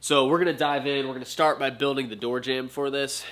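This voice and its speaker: Bright-voiced American man, casual. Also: medium pitch, steady tone, a little fast. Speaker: vlog host and builder. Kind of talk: casual shop talk.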